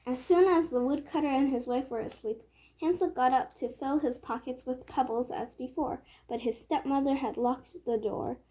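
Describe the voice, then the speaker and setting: A woman storyteller narrating a children's read-aloud tale with measured phrasing. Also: high-pitched, dark tone, a little hiss or hum.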